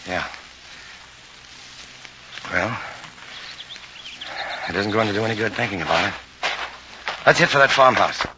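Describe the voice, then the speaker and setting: Scientist hero for vintage radio drama: lowish, animated, practical, steady and emphatic, on a dull noisy old recording. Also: male voice, unhurried, pauses often. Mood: easygoing, practical. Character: male scientist, calm, reassuring, brave and practical. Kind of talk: 1950s radio drama acting.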